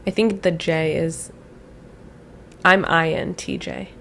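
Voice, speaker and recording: A woman's voice: low-pitched, steady tone, slow, a bit bright, slight background noise. A woman co-hosting a reaction video, chatty and agreeable as she gives her opinions. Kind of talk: casual reaction chat.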